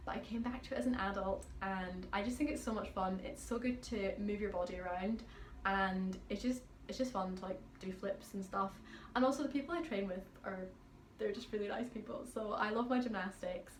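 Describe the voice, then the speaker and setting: A young adult woman from Scotland with a Highland Scottish accent, chatting upbeat through a Q&A as a pianist vlogger. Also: fairly quick, roomy sound, a little hiss or hum, rough recording. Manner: chatty and upbeat, reads out questions then answers. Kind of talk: casual Q&A chat.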